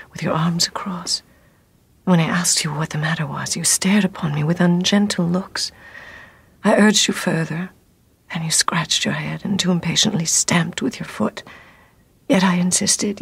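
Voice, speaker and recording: A woman's voice: on the low side, creaky, breathy edge, heavily acted. An actress playing a devoted Roman noblewoman pleading with her husband in a classical radio drama, with urgent verse delivery.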